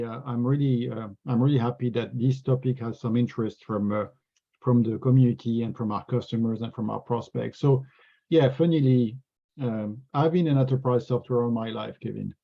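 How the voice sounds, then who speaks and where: This French-accented man speaks earnestly and often restarts his words mid-thought. His voice is gravelly and husky, at a middle pitch. The video call audio is dull and lo-fi. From France. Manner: earnest, repeats words while thinking. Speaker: regional sales executive. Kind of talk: webinar interview answers.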